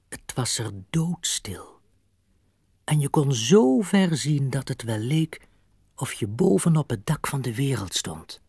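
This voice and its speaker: An actor as the narrator of a children's radio play, a man telling the story in a husky voice. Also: medium pitch, warm tone, no echo, clean studio sound, heavily acted.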